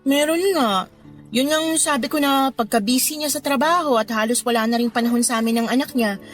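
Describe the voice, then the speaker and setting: A woman's voice: a little high, brisk, natural voice. An actress playing a discontented, flirty mother of thirty who confides in a Filipino accent, in a conversational radio drama style. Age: 30s. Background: background music.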